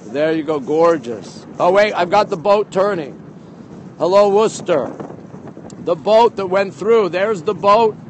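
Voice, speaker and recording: High-voiced middle-aged man live-streaming outdoors. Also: a little slow. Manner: chatty, excitable, repeats himself, reacting to viewers. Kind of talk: casual walking live-stream chat. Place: outdoors on busy city streets, walking. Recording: outdoor street and traffic noise.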